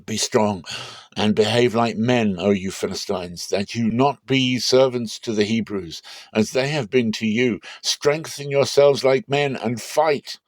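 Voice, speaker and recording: A man's voice: mid-range voice, unhurried, no room sound, lightly acted. A man voicing an alarmed Philistine warrior, urgent and exclaiming, in a dramatic scripture reading. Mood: rallying. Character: alarmed Philistine warriors dreading mighty gods.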